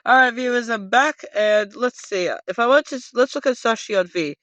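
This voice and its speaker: A man with a high, smooth voice gives a casual, off-the-cuff tutorial. He talks loudly and wanders, often correcting himself. The sound is close and dry but dull and lo-fi. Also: normal speed, dry recording. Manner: loud, rambling, self-correcting. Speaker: host of a card game tutorial video. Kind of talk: casual unscripted how-to explanation.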